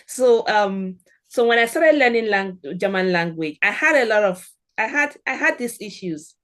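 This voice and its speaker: A woman's voice: mid-range voice, expressive, normal speed, fairly bright tone. A middle-aged woman with a Nigerian accent, a guest on a podcast, giving earnest encouraging advice in short phrases. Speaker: guest, German language expert. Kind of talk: podcast interview.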